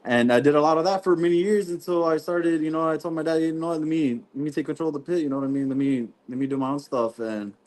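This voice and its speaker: A man, a barbecue pitmaster guest, talking in a relaxed, rambling way and saying "you know what I mean" often. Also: a little high, fast, few pauses. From Texas. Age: 20s. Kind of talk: casual podcast interview.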